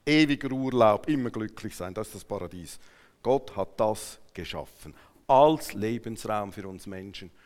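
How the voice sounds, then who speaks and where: A man with a Swiss German accent preaching a sermon to a congregation, emphatic and swinging between hushed asides and raised declarations. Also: on the low side, expressive, moderate pace. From Switzerland. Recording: long hall reverberation.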